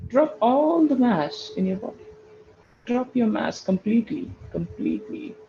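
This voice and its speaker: A man leading a guided meditation, giving slow, soothing instructions and repeating short phrases for emphasis. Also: very high voice, expressive, dark tone, muffled recording, a little hiss or hum. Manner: repeats phrases for emphasis, addresses listeners as friends.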